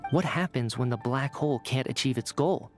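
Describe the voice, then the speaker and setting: An actor playing a calm, analytical young intellectual in a video game, reasoning deliberately like a detective. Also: male voice, mid-range voice, soft background music, natural voice. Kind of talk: scripted game dialogue.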